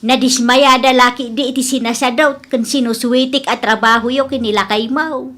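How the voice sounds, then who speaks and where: A woman plays a blunt village auntie in an Ilocano comedy radio drama, very high and piercingly bright. She scolds sharply in an Ilocano Filipino accent. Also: fairly even intonation, normal speed. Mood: blunt scolding. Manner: sharp, reproachful.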